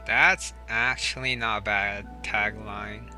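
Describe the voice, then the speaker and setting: A man giving casual, offhand let's play commentary in a low-key way. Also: steady tone, slow, pushed and bright. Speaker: gaming channel player. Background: game music.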